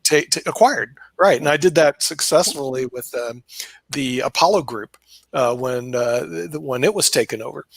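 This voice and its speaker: A man's voice: medium pitch, normal speed, gravelly, husky, no echo. Man, host, video call chat, rambling, fillers and restarts, dry close sound.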